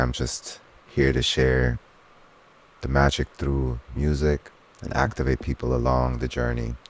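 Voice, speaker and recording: A Swiss-born man with Swiss German as his first tongue, speaking in an extremely low, gravelly voice. He talks earnestly in a relaxed interview and often reaches for spiritual language. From Switzerland. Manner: earnest, spiritual turns of phrase. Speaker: guest, soul singer and DJ. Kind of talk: casual conversational interview.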